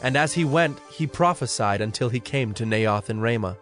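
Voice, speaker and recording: A man's voice: mid-range voice, lively intonation, normal speed, fairly bright tone. A man narrating scripture from a script as the narrator of a dramatized audio production. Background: music under the voice.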